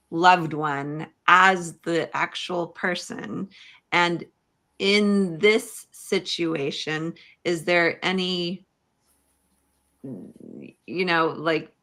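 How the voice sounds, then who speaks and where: A woman podcast host asking questions casually, in a tentative, pausing way. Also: lowish voice, pushed and bright. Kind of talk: casual conversational interviewing.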